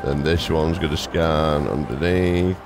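A man giving casual let's play commentary, talking to himself with excitable exclamations and repeated words. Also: a bass voice, unhurried. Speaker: video game streamer. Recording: game music and gunfire behind. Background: game music and gunfire.

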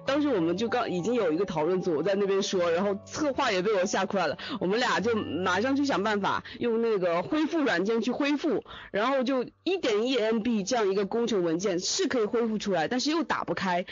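A man, an audio drama sound editor, chatting casually in an interview and telling a rambling, animated story. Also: high voice, fairly quick, few pauses. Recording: dull lo-fi voice chat with music.

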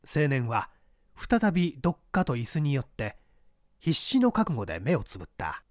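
A man narrating a mystery story in a deliberate, dramatic reading style. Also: medium pitch, wildly swooping pitch, a little mellow, no echo, studio quality.

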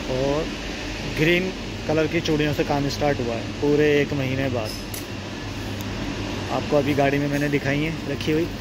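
A man with a Pakistani Urdu accent giving a casual walking tour of a glass bangle factory, explaining and pointing things out to viewers. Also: on the high side. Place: outdoor glass bangle factory yard and furnace shed. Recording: loud background noise.

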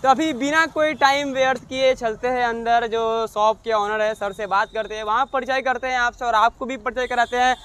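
A man's voice: extremely high pitch, moderate pace, few pauses, smooth. A young man hosting a vlog from a used car showroom, touring it and interviewing staff with a clip-on mic. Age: young adult. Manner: energetic presenter, repeats answers back. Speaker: vlogger. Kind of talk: casual vlog walkthrough. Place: car showroom and lot, indoors and outdoors. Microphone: lapel mic.

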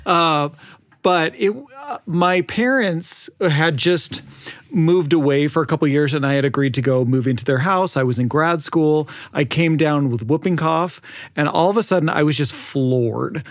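A man's voice: a little high, normal speed, no echo, studio quality. A young man chatting casually on a discussion panel in a candid, self-deprecating way. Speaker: radio show panelist. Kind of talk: casual chat.

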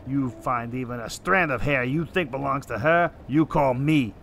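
A man's voice: medium pitch, moderate pace, vocal fry, a bit bright, echoey room, slight background noise. A man playing a stubborn, wry 1940s private detective in a noir radio drama, speaking in terse, slangy tough talk on a close mic. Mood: tough, insistent. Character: hard-boiled, stubborn 1940s private detective, gruff uncle. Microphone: close studio microphone, headset.

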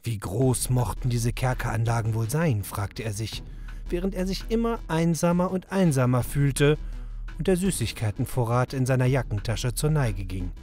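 A man's voice: medium pitch, expressive, normal speed, a little mellow, faint music behind. A man delivering scripted narration as the storyteller of a children's audio drama.

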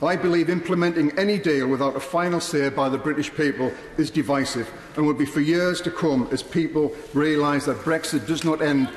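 A middle-aged man, a member of parliament, reading a prepared speech in a large debating chamber, earnest and measured. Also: a little high. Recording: echoey chamber with long reverb.

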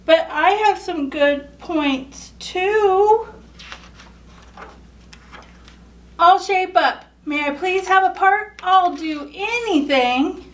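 Woman voicing an eager, high-pitched little cartoon shape. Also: takes it slowly, far from the mic. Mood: pleading. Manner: keen, pleading. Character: eager, cheerful trapezoid shape who wants in.

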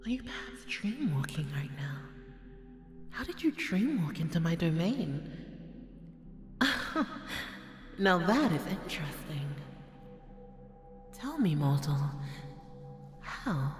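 A woman voicing a bored, volatile, haughty goddess in an ASMR audio roleplay, drawling and slipping into breathy murmurs. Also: lowish voice, very animated, takes it slowly, long pauses. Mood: curious, intrigued. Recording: echoey hall reverb with music behind.

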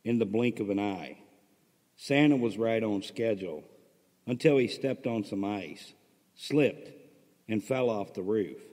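A man reads a story aloud in a fairly low voice, with long reverb trailing his words. He sounds like a host narrating his own writing. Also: expressive, unhurried, darkish tone. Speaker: host reading own stories. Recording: long reverberant hall-like echo.

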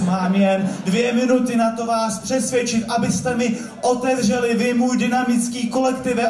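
A man performs slam poetry with a very high-pitched, theatrical delivery. He declaims and repeats words for emphasis. The sound is strongly echoey and distant, as from a stage in a club. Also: a little mellow. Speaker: slam poet. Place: club stage at a live competition.

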